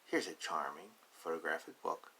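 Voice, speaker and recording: A man's voice: low-pitched, normal speed, bright, forceful tone, breathy edge. A man reading a picture book aloud to young children, adding playful, simple explanations in casual asides.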